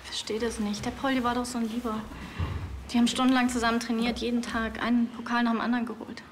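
An actress playing an earnest, helpful young horse rider. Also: female voice, a little high, little pitch movement, fast talker, noisy background, lightly acted. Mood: bewildered. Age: young adult.

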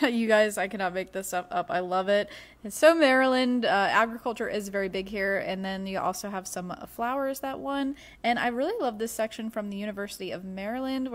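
An enthusiastic young woman talks through her day in a casual voice-over. Her pitch sits in the ordinary middle range, and she chats along easily. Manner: chatty and enthusiastic, says y'all. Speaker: vlogger.

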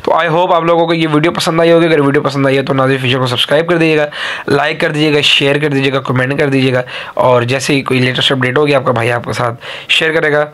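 A man with a Pakistani accent and a slightly high voice narrates an informal update for his channel. He speaks haltingly, leaning on repeated filler phrases as he goes. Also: fairly even intonation, a bit bright. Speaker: YouTube channel host.

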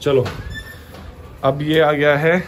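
A man's voice: fairly high pitch, level tone, extremely slow. Young male vlogger, casual house-tour chatter, handheld camera, faint noise. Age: young adult. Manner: chatty, addresses viewers as "bhai". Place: indoors in a family home, walking room to room. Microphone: handheld action camera.

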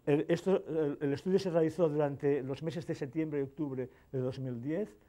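Hesitant male conference speaker, mellow voice. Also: fairly high pitch, steady tone, normal speed. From Spain. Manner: hesitant, many fillers and restarts. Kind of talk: technical conference talk. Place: conference hall.

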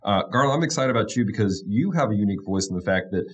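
A man in his thirties, a pastor hosting a casual chat show, upbeat and quick to laugh, close to a studio mic in a small wood-paneled room. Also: fairly low pitch, animated, slightly rough. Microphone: studio mic on boom arm, close.

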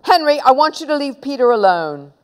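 A woman's voice: high-pitched, very animated, fairly quick, voice pushed up. A woman voicing an exasperated, stern mother scolding her young sons in a children's storybook. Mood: cross.

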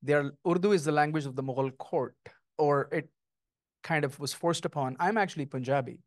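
A middle-aged man, a guest author, speaking thoughtfully and lyrically with many pauses at an onstage conversation, on a handheld mic. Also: a little high, no room sound, clean studio sound. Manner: thoughtful, lyrical, with frequent ums. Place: small stage before an audience, indoors.

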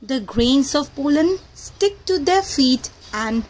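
Woman, high voice, slow pace, children's read-aloud narration, teacherly delivery. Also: lively intonation, a little hiss or hum, low-quality recording. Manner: teacherly, addressing children with questions.

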